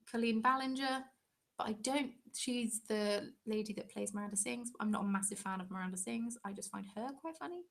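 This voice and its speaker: A woman's voice: medium pitch, vocal fry. A young English woman hosting a craft channel's live stream, chatting casually and rambling with frequent ums.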